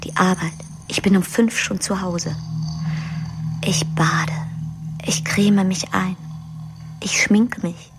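A woman's voice: lowish voice, steady tone, slow pace, pauses often. An actress playing a lonely woman who longs for her weekly lover, in an intimate, whispered monologue for a radio drama. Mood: longing. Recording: radio play with music.

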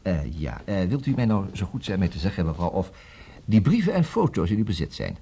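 An actor with a Netherlandic Dutch accent playing a busy, brisk, distracted male lawyer in a radio comedy, repeating himself and hesitating. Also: low voice, sing-song, mellow, slight background noise. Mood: smooth, self-assured. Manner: hesitant fillers, repeats words, juggles several conversations.

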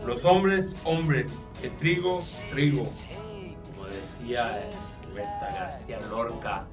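Man acting a drunk old Mexican doctor, radio drama, slow drawl, crude and insistent, slightly distant, music underneath. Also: mid-range voice, lightly acted. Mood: crude, boastful. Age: older. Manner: crude, pushy, rambling. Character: drunk, insistent, foul-mouthed older family doctor.

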